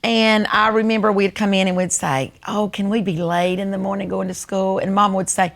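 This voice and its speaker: Older female with a Southern American accent for relaxed, folksy family storytelling in conversation. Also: medium pitch, moderate pace, gravelly. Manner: folksy storytelling, acts out remembered family dialogue. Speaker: host. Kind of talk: casual reminiscing conversation.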